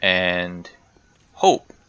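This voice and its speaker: A man commentates casually on a video game as he plays it. His voice sits a little low, yet it has a bright, forceful edge. He rambles along, muttering to himself and addressing the game's characters as he goes. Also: expressive, drawn-out words, smooth, no echo, highs cut off. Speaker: gaming video host.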